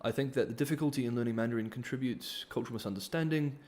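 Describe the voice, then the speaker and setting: A man's voice: medium pitch, very fast, darkish tone. A man, hosting a casual livestream Q&A and reading donor comments, hesitant, with many ums and restarts. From New Zealand. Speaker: livestream host.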